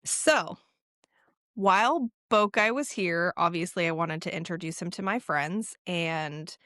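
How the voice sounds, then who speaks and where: Animated, teasing woman podcast co-host. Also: lowish voice, measured pace, no room sound, studio quality. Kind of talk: casual chat.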